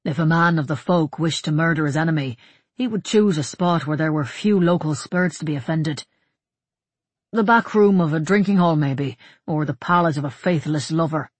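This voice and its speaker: A narrator voicing a soft, grave, probing monk, crisp man. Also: mid-range voice, no room sound, natural voice. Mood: grave, certain. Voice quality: soft-voiced. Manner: grave, measured, reassuring. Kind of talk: audiobook character dialogue.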